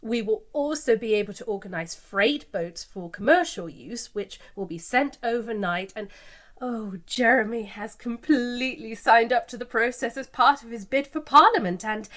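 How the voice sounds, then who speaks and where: A woman's voice: on the high side, normal speed, fairly bright tone, close to an everyday voice. An actress playing a breezy, evasive company spokeswoman in a satirical mock news interview, airy and confident as she glosses over problems. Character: breezy, evasive female company spokeswoman pitching an absurd scheme.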